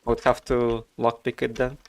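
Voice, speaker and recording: A man's voice: mid-range voice, completely flat delivery, moderate pace. A man from Russia with a Russian accent, chatting casually while streaming a game, thinking aloud with many fillers. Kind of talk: casual gameplay commentary.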